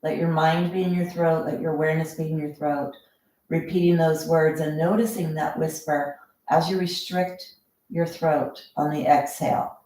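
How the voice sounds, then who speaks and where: A woman teaching yoga breathing as a guided meditation, speaking calmly and without hurry in drawn-out instructive phrases. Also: deep voice. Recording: very echoey room, distant microphone.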